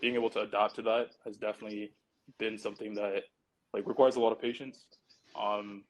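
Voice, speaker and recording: A man speaks in a low, flat, even tone. He talks in a measured way and pauses to find the right words. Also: slight background noise, low-quality recording. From Barbados. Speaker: track and field athlete panelist. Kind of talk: casual panel talk.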